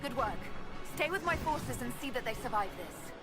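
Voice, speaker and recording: An actress playing a confident heroine who leads an army in a video game, assertive and direct. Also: female voice, a little high, sing-song, moderate pace, over loud music. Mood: assured. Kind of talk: dramatic video game voice acting.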